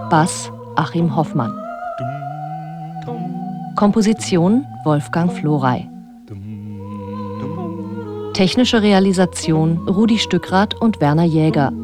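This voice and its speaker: A woman announcer reading radio drama credits in a formal, measured, scripted style. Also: on the low side. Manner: measured, clearly phrased. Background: music behind the voice.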